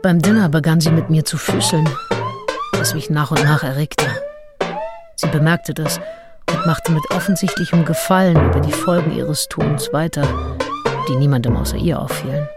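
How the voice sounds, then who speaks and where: A woman's voice: low voice, wildly swooping pitch, normal speed, loud music behind the voice. An actress playing a self-assured, seductive nineteenth-century woman diarist, frank and intimate, in a radio play. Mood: teasing, seductive. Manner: frank, matter-of-fact, intimate. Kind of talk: radio play, first-person diary reading.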